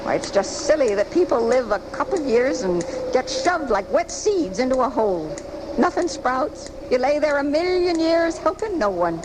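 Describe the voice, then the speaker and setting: An actress playing a feisty, fretful frail old American spinster who scolds in folksy, indignant style in a live radio drama. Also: female voice, high voice, very animated, normal speed. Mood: pleading, wistful. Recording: noisy amateur off-air radio recording from 1984.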